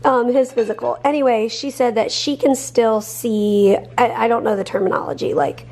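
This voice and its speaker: A woman in her thirties chats to a handheld camera in her kitchen, lively and full of "like." Her voice sits a little high and has a breathy edge. The sound is close and homey. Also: moderate pace. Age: 30s. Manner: chatty, animated, says "like" a lot. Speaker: vlog co-host. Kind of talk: casual vlog chat. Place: home kitchen. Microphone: handheld camera at arm's length.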